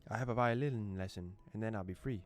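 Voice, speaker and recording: A man's voice: fairly low pitch, fast, soft background music. A young man reading a visual novel aloud and translating its lines, with playful jokes and frequent laughter. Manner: playful, joking, laughs often. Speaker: gaming YouTuber.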